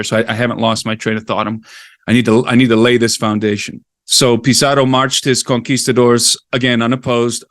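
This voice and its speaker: A man's voice: medium pitch, no room sound, clean studio sound. A middle-aged man talking as a podcast guest, telling history in a casual, digressive way. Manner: digressive, explains history at length. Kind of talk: casual storytelling conversation.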